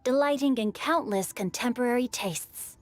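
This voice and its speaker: Actress as refined youth, somewhat high pitch, courteous, literary, video game. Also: female voice, normal speed, close to an everyday voice. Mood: courteous, poised. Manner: polite, literary. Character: courteous, well-read youth, smooth and playful.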